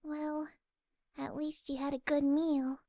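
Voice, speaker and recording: Timid little pegasus girl, super high hesitant voice, very dry close sound. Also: level tone, takes it slowly, no room sound. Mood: sad, self-doubting. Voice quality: soft, high, delicate voice. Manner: hesitant, stammering. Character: timid, sensitive young female pegasus pony. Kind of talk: cartoon fan fiction voice acting.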